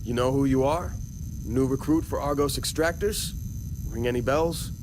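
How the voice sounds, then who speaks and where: A man's voice: mid-range voice, normal speed, background noise, lightly acted. Actor as plain-spoken, wary male space miner. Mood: calm, checking. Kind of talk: video game cutscene acting.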